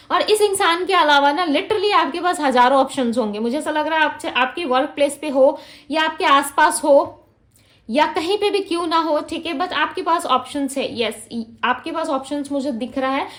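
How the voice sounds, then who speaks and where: A woman giving a tarot reading to her viewers, mixing Hindi with English and speaking in a gentle, reassuring way to the audience, often tagging her sentences with "ठीक है". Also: sits high. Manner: Hindi mixed with English, frequent "ठीक है" fillers. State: throat feels heavy. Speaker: tarot reader.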